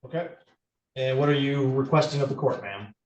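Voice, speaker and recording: A man's voice: medium pitch, a little fast, darkish tone. A middle-aged man presiding as judge, talking procedurally in a courtroom on a video call. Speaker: judge presiding over a family court hearing. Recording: roomy, distant courtroom microphone on video call.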